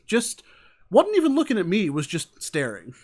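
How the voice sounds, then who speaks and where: A man's voice: sits high, wildly swooping pitch, normal speed, darkish tone. A man hosting an interview over a video call, casual and joking, with wry self-deprecating asides. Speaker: interview host. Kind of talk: casual chat.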